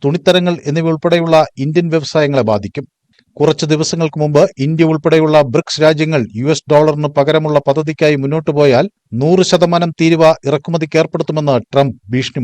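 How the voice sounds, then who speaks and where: High-pitched man narrating a news report. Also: brisk, a little mellow, no echo.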